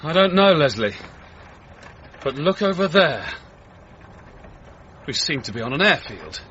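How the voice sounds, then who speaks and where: An actor playing an earnest, polite 1930s British race walker, articulate and understated, in a radio drama. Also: male voice, fairly high pitch, very animated, unhurried, fairly bright tone. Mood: puzzled. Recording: dull radio recording, faint background noise.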